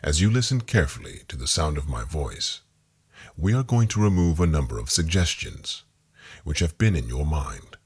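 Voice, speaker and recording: Deep, husky man guiding hypnosis. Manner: slow-phrased, calming, deliberate, repetitive suggestion. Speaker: hypnosis guide. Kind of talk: guided meditation, scripted hypnotic narration.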